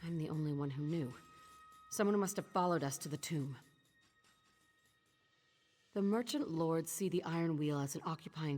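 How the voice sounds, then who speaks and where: A woman's voice: low-pitched, darkish tone. An actress playing a weary, guilt-ridden woman who leads a thieves' guild in a video game, speaking in an earnest, terse, commanding way. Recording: long reverb, music behind.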